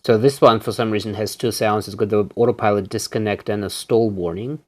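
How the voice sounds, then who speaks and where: Man casually demonstrating gadgets, halting delivery. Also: on the low side, steady tone, a little mellow. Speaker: presenter reviewing electronics on video. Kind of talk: casual hands-on product demonstration.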